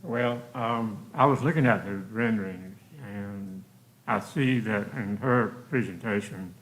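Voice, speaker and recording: A man's voice: lowish voice, unhurried, fairly bright tone, low-quality recording. A man on a commission, informally questioning a presenter at a public meeting.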